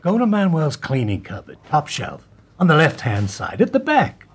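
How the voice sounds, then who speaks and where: A man with a raspy voice acting a calm, helpful office worker in an amateur radio play, matter-of-fact. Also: on the high side, normal speed. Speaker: office colleague.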